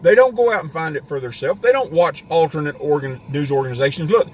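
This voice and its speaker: A man's voice: a little high, quick pace. Man, live stream host, ranting and rambling, worn voice, parked car, faint background noise. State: voice getting worn. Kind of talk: casual live stream rant.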